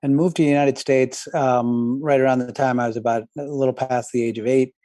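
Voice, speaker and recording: A man's voice: medium pitch, steady tone, fairly quick, dark tone, no echo, studio quality. A middle-aged man, born in southern India and raised in New York, talking reflectively as an interview guest, with many restarts and fillers. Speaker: guest, tech company founder. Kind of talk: conversational interview.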